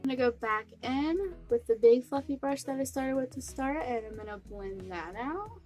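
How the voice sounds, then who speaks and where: A woman's voice: high-pitched, lively intonation, moderate pace, darkish tone, soft background music. A young woman with a cold and a stuffy nose, chatting through a makeup tutorial step by step. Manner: chatty, friendly step-by-step explaining. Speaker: beauty vlogger.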